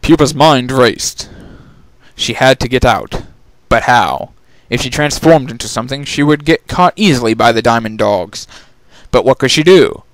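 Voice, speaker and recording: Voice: mid-range voice, animated, normal speed, no echo, low-quality recording. A narrator giving a dramatic reading of a story, telling it in scripted prose.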